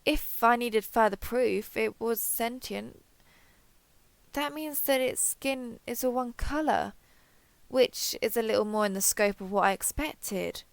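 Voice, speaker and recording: A woman voicing an excitable, wary alien explorer, thinking aloud in a wry, hesitant internal monologue for an audio roleplay. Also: fairly high pitch, unhurried, gravelly, no room sound. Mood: curious, frustrated. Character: excitable, wary female alien explorer with blue-grey skin. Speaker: voice actor.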